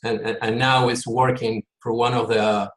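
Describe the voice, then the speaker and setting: A man speaks earnestly on a video call, repeating himself as he presses a point. His voice sits at an everyday middle pitch. It bounces around an echoey room. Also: flat delivery, moderate pace. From Peru. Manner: earnest, repeats words when emphasizing. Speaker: volunteer consultant.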